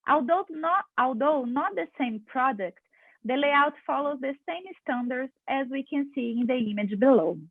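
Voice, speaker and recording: High-voiced woman on a tinny line. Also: lively intonation, moderate pace, no echo. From Brazil. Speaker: conference speaker. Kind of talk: reading a prepared paper. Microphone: video call. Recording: tinny dry phone-like line.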